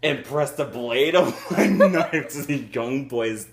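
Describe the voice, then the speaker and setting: Brief: man reading a novel to friends, animated delivery, shouts character lines, echoey room. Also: medium pitch, takes it slowly, a little hiss or hum. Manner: voices characters with loud shouted lines, laughs often. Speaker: reader of a novel aloud. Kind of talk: comedic group read-through with crude asides.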